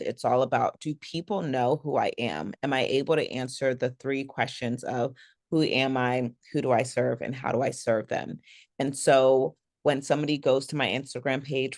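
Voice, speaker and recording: A woman business coach speaking as a podcast guest, enthusiastic and animated, her voice a little raspy after a long event. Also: very deep voice, no room sound, clean studio sound. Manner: animated, enthusiastic, quick to explain. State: slightly raspy after a three-day event. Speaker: guest, personal branding coach. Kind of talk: podcast interview conversation.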